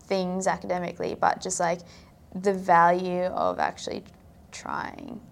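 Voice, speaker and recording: A woman's voice: on the low side, sing-song, measured pace, creaky. A young woman from South Africa, chatting casually as a podcast guest and filling her talk with "like". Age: young adult. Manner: chatty, filler-heavy, frequent "like". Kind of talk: casual interview chat.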